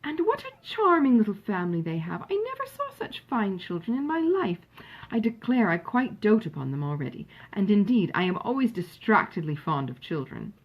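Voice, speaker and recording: A woman's voice: medium pitch, sing-song, normal speed, breathy edge, muffled recording. A woman reading aloud as a gushing, chatty, forward young lady, effusive and emphatic, in a storybook. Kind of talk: acted dialogue in a storybook reading.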